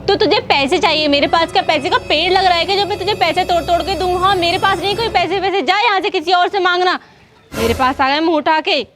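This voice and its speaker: A woman's voice: extremely high pitch, fast talker. A woman playing a vain, self-centred young mother in a moral-story skit, with exclamatory, theatrical delivery. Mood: scornful, angry. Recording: music under the voice.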